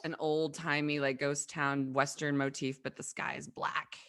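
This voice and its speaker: A woman's voice: sits low, level tone, normal speed, fairly bright tone, smooth. A woman co-hosting a talk show with wry, casual banter.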